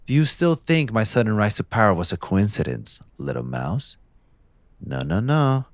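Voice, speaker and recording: A man playing a possessive, smug young mafia heir in a scripted audio roleplay, speaking in a theatrical, condescending, deliberate villain's drawl. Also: fairly low pitch, sing-song, dry recording. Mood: scheming, superior.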